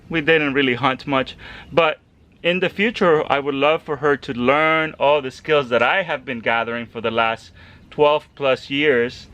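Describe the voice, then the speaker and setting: Chatty higher-voiced man from El Salvador. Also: smooth, lo-fi sound. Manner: chatty, coaxing a toddler gently. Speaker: home gardener vlogger. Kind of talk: casual garden vlog. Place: outdoor garden by a greenhouse.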